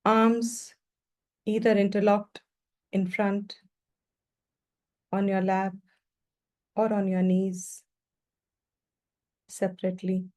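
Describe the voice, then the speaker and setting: Female meditation teacher: warm, dark-toned, mid-pitched voice, gentle unhurried instructions delivered in short phrases. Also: flat delivery.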